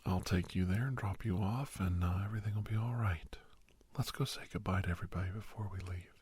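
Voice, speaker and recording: A man playing a kindly older doctor in an ASMR roleplay, reminiscing and reassuring in a soft, breathy, gravelly whisper-talk. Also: low voice, dry recording. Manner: slow, rambling, gentle, chuckling storyteller.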